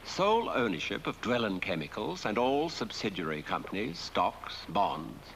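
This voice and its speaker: An actor playing a smug, wealthy dead husband who reads out his will in a theatrical, tender, drawn-out way. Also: fairly low pitch, very animated, gravelly, fairly bright tone, slight background noise, heavily acted, poor audio. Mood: grand, savouring. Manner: theatrical, savouring, drawn-out delivery. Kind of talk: film drama monologue.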